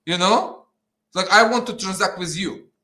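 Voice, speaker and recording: A man's voice: high voice, moderate pace, sharp tone. A man with an Eastern European accent ranting in conversation, blunt and fired-up, swearing and repeating himself. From Ukraine, former Soviet Union. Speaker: guest, petition campaigner. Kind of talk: impassioned conversational rant. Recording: roomy, echoing sound.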